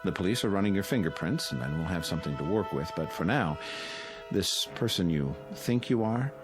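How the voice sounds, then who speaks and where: A deep, expressive voice plays a condescending psychiatrist in an audio drama. He is measured and intellectual, calmly patronizing as his pitch rises and falls. Music plays clearly behind him. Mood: patient probing. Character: calm, condescending male psychiatrist at a mental institution. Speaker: actor.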